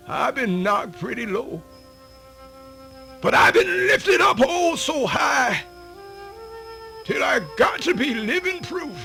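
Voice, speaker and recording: A man's voice: extremely high pitch, gravelly, pushed and bright, exaggerated voice. A man playing a spirited, very old American folk storyteller born a slave, dramatic and folksy in a radio theatre monologue. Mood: fervent, proud. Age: older. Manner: dramatic drawn-out delivery, folksy hesitations and drawl.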